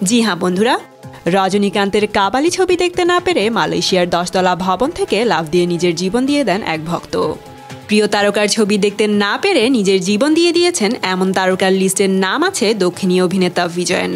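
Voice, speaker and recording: A woman narrating scripted celebrity gossip in a presenterly way, putting rhetorical questions to viewers. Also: mid-range voice. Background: music.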